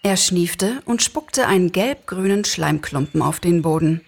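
Low-voiced woman reading a story. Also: lively intonation, measured pace, creaky. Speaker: narrator, actress. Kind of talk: expressive scripted story narration.

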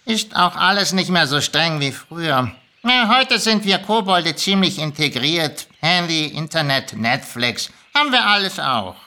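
A woman's voice: sits low, very animated, a little slow, bright, forceful tone, exaggerated voice. A woman voicing a cocky, laid-back little male goblin in a story reading, with a casual, drawling, colloquial manner. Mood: laid-back, self-satisfied.

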